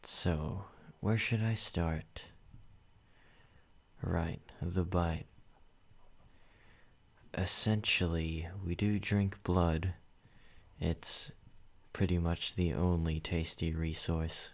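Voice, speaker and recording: A man playing a possessive vampire in an ASMR roleplay. He speaks low and slow, in a measured, even tone aimed straight at the listener. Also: gravelly. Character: calm possessive male vampire host.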